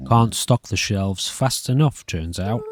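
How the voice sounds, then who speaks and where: A man's voice: fairly low pitch, animated, measured pace, over music. A man voicing a chatty, smitten old shopkeeper in a story game. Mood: wistful, smitten.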